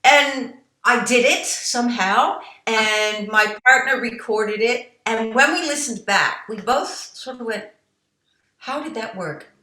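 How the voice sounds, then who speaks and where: Middle-aged woman chatting about her singing life, bright voice, lively, quotes people, echoey room. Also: fairly high pitch, takes it slowly. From United States. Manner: animated, tells stories with quoted asides and laughs. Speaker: interview guest, jazz singer and songwriter. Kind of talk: conversational interview storytelling.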